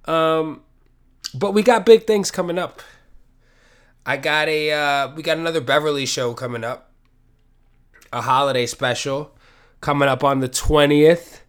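A man's voice: fairly high pitch, measured pace, pauses often, clean tone. A man hosting a casual solo podcast from his apartment while sick with a cold, sniffly and rambling, with plenty of swearing. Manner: rambling, profane, self-deprecating. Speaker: podcast host. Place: home apartment.

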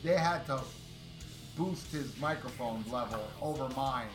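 A man co-hosting a podcast, talking in a loud, powerful voice in a brash, profane, boastful way. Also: medium pitch, unhurried, echoey room, over music. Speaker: podcast co-host. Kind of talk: casual podcast chat.

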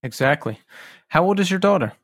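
Chatty man host with a slightly higher voice. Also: lively intonation, a little fast, no echo, clean studio sound. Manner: chatty, self-deprecating, nervous energy. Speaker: podcast host. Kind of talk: casual podcast interview.

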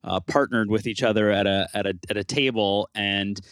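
A man's voice: lowish voice, steady tone, moderate pace, fairly bright tone, no echo, studio quality. A man hosting a preservation interview podcast, chatting loosely with fillers and restarts. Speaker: podcast host. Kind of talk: casual interview chat.

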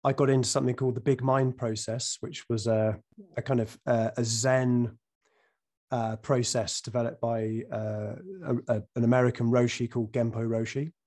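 A man hosts a podcast in a relaxed, hesitant way, his voice croaky from a recent cold. He fills gaps with ums and often restarts his sentences. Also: medium pitch, moderate pace. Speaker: podcast host. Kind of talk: casual interview.

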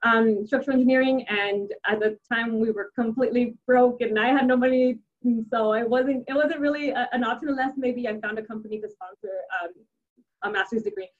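A woman's voice: on the high side, fairly quick, narrow, tinny audio. A young adult woman, a native Spanish speaker, answering interview questions casually with many restarts and fillers. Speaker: civil engineer guest.

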